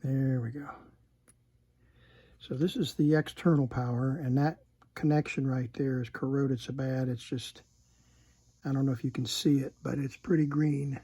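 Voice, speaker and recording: A man giving casual, unscripted repair commentary at a workbench, halting and muttering to himself as he works. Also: mid-range voice, steady tone, mellow, husky. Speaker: host demonstrating electronics repair.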